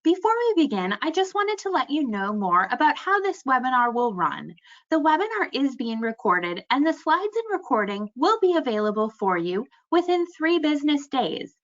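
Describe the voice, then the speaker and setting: A woman's voice: high-pitched, big pitch swings, normal speed, muffled recording. A woman moderates a webinar and reads out polite, scripted housekeeping announcements.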